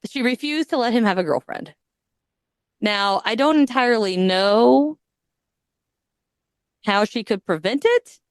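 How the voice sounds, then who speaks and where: A woman's voice: medium pitch, clean tone, dry recording. A woman hosting a podcast, retelling a story in a casual, crude, joking way and often breaking into giggles. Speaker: podcast host.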